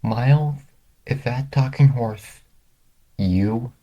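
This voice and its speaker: A man's voice: medium pitch, slow pace, muffled recording, pitched above the performer's own voice. A man voicing a vain, easily panicked young pop princess turned cartoon horse in a fan story. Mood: nervous.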